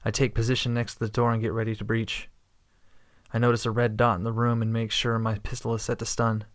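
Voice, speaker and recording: A man reading scripted first-person sci-fi narration in a steady, understated way. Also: medium pitch, fairly quick. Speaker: narrator and protagonist.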